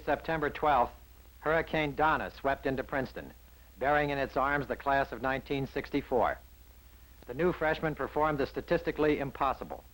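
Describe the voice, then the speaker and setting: A man's voice: mid-range voice, fairly bright tone, low-quality recording. An American man reading scripted newsreel narration from around 1960 in a polished, wry manner.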